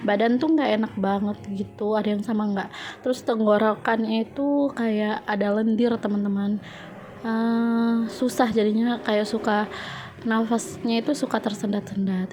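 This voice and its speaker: A young woman with a congested, stuffy nose narrates a voice-over in a level, steady tone. Her voice is soft and mellow, and she chats to her viewers with frequent fillers. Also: mid-range voice. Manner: chatty, addresses viewers often, many fillers. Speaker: home budgeting vlogger. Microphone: phone.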